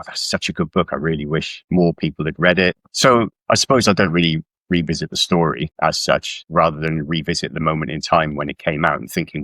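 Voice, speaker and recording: A man's voice: extremely low pitch, a bit bright, no room sound. A middle-aged man from South Wales chatting informally as a guest author in an interview, with a self-deprecating manner. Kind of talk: casual interview chat about work.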